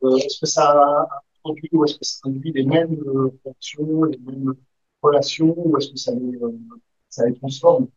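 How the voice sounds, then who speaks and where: A man's voice: a little high, steady tone, normal speed, dry recording. A man in the audience of a university conference, stammering and searching for words as he comments and asks a question. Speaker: audience member asking a question. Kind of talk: academic discussion. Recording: dull muffled sound.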